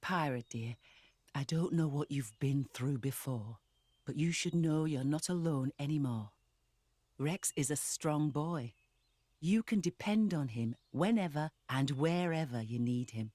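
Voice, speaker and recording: A woman voicing a kindly, motherly older guardian in a video game, gentle, protective and reassuring. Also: deep voice, animated, unhurried, no echo. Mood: tender. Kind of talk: video game cutscene acting.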